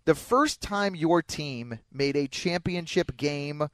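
A man's voice: fairly high pitch, takes it slowly, no room sound. An American man hosting sports talk radio in an emphatic, opinionated way, close on a studio mic. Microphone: close studio broadcast microphone.

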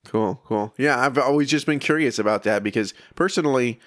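A man's voice: medium pitch, a little fast, no room sound, clean studio sound. A man speaking as a music podcast host and interviewer in a casual, conversational style.

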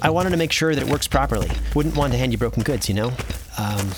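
A man's voice: medium pitch, lively intonation, quick pace, noisy background, natural voice. A man playing an earnest, slightly awkward inventor in an audio drama, chatty and hesitant in a naturalistic way. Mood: rambling, sheepish. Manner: hesitant, rambling, friendly.

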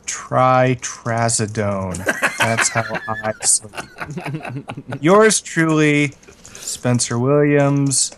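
Man, slow delivery, mid pitch, podcast co-host, sarcastic, mock-formal letter reading. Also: heavy background noise. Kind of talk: casual chat and reading out listener mail replies.

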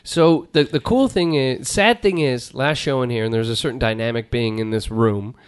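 Middle-aged male host, deadpan and rambling, dry close sound, garage podcast. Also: mid-range voice, normal speed, clean tone, no room sound. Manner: rambling, deadpan, trails off and restarts. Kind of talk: casual joking banter. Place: home garage studio.

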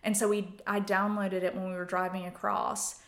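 A woman's voice: medium pitch, flat delivery, moderate pace, gravelly. A woman in her thirties talking casually and reflectively as an interview guest, in an informal way. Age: 30s. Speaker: guest, photographer. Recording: roomy, echoey sound.

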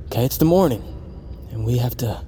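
A man acting in audio fiction as an anxious, loyal friend, excitable and nervy with casual phrasing. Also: medium pitch, brisk, a little hiss or hum, close to an everyday voice. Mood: urgent, upbeat. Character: anxious, loyal male friend on perilous journey.

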